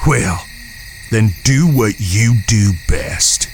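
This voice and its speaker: Man acting a slow, gravelly outlaw leader. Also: lowish voice, expressive, a little mellow, slight background noise, heavily acted. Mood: commanding, wry. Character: big-bodied outlaw eldest brother, commanding and courteous to ladies. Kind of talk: Western audio drama acting.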